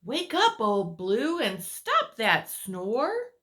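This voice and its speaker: Woman acting a exasperated storybook boy, high ringing voice, loud insistent calls, drawn-out words, swooping pitch. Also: huge pitch swings, full character voice. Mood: loud demanding. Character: exasperated storybook boy Jack calling sleepers awake.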